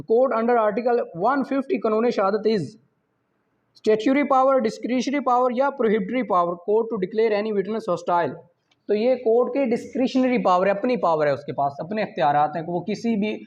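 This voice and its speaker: High-pitched man teaching law slowly. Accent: Pakistani. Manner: reads English questions slowly, explains in Urdu. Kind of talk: exam prep lecture, reading questions aloud then explaining. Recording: slightly dull lo-fi audio.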